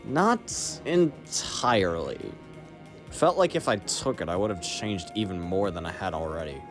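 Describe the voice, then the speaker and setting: A wry, secretive male mercenary, voiced by an actor, speaking in a low register. His manner is dry and laid-back with a teasing edge, set in an audio roleplay over faint music. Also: animated, unhurried. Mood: reflective. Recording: music playing behind the voice.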